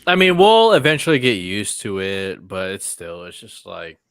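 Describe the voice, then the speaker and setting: A man's voice: on the low side, sing-song, a little slow, crowd noise. A man chatting casually as a panelist, with a hesitant, loosely phrased way of talking. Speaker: panelist on a movie talk show. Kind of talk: casual chat.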